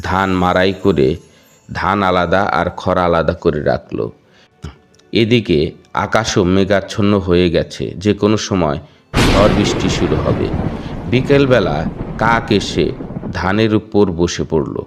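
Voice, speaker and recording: A man's voice: deep voice, measured pace, faint music behind. A man narrating a children's cartoon fable as a scripted storybook tale.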